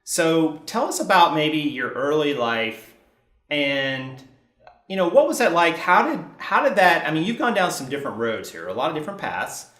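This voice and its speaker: A man's voice: a little high, big pitch swings, normal speed, fairly bright tone, echoey room. Rambling male interview host. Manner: rambling, restarts questions. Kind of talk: conversational interview questions.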